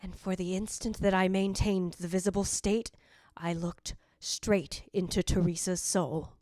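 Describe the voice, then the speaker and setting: A woman's voice: fairly low pitch, fairly even intonation, a little mellow, no room sound, close to an everyday voice. A woman playing the jealous, anguished ghost of a dead wife, narrating in a literary, theatrical old-time radio drama style. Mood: intense, dread. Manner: literary, theatrical, deliberate delivery.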